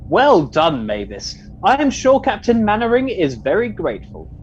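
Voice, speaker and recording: A woman's voice: medium pitch, wildly swooping pitch, normal speed, dull audio. A woman playing a languid, courteous second-in-command officer in a comic radio play, politely organising everyone.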